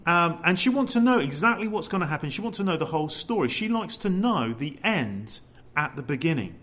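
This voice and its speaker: Man, preacher, expressive and loud, rises and falls a lot. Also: on the high side, brisk. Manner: lively, animated preaching with rhetorical questions; says he has a loud voice. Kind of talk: sermon.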